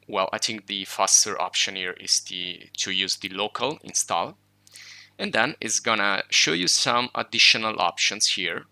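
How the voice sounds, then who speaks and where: A man with Italian as his first language talks through a computer tutorial in an easygoing, explanatory way, pausing on fillers and fixing his own phrasing. His voice sits fairly low with a gravelly edge and a very bright, sharp tone. The sound is close and dry. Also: no room sound. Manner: relaxed, explanatory, with fillers and self-corrections. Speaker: tech tutorial host. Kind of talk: screen-recorded tutorial walkthrough.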